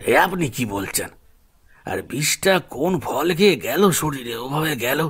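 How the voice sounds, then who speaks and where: A man playing a matter-of-fact investigator in a Bengali audio drama, with a brisk delivery. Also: medium pitch, sing-song, a bit bright, breathy edge, full character voice.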